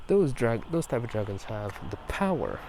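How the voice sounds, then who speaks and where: A man doing casual ASMR game talk in a soft, breathy half-whisper, musing in a halting, rambling way. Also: mid-range voice, very animated. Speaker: ASMR video host. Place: outdoors at night. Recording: noisy outdoor ambience. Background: drainage pipe water, passing cars.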